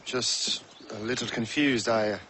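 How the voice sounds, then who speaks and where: A man's voice: mid-range voice, fairly even intonation, unhurried, sharp tone, a little hiss or hum. An actor playing a troubled young male ship captain in a video game cutscene, speaking in a grave, restrained way.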